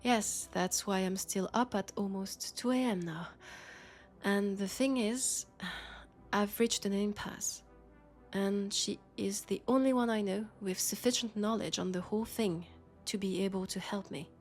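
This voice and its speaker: Woman playing a weary policewoman, French accent, slow hesitant confiding delivery, medium pitch, intimate sci-fi audio drama. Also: faint music behind, natural voice. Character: weary, guarded young policewoman confiding in her housemate.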